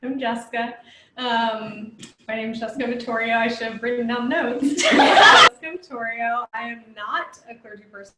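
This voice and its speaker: A woman's voice: on the high side, bright, forceful tone, slight background noise. A young woman lawyer introducing herself on a panel, chatty and self-deprecating. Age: young adult. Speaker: lawyer, lay delegate. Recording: echoey room.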